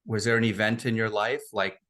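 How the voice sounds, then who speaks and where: Interview host, man, lowish voice, hesitant questions full of pauses. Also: steady tone, a bit bright. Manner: hesitant, frequent fillers and restarts. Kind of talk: remote interview.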